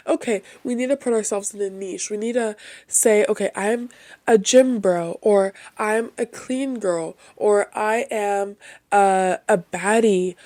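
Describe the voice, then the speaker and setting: A young woman talks through her solo podcast in a loose, rambling way, with plenty of "you know" asides. Her voice sits at an ordinary middle pitch, but it has gone raspy and slightly nasal because she is still getting over being sick. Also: darkish tone. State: recovering from illness, voice raspier and nasal. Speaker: podcast host. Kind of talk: casual solo podcast monologue.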